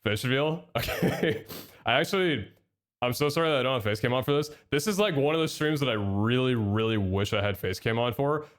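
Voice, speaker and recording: A man's voice: medium pitch, brisk. A young man streaming live, chatting with his chat and thanking subscribers, a little nasal from a head cold. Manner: chatty and excitable, reads out chat and thanks subs.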